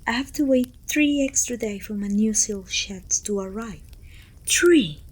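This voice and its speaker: A woman playing a pompous, self-pitying evil queen who talks down to her servant in an intimate, breathy ASMR roleplay, often dropping toward a whisper. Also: mid-range voice, measured pace, lightly acted. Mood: exasperated. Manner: pompous, petulant, theatrical sighing complaints.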